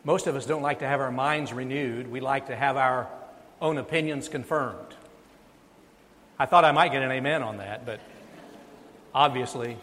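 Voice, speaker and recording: A man's voice: fairly high pitch, a bit bright, slight background noise. A male pastor preaching a sermon, emphatic and rising in volume on key points. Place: church sanctuary. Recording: long reverb of a church sanctuary.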